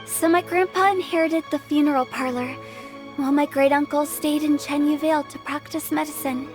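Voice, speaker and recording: A woman's voice: high voice, fairly even intonation, moderate pace, lightly acted. A woman playing a young, breezy female funeral parlor director explaining things in a video game. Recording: music under the voice.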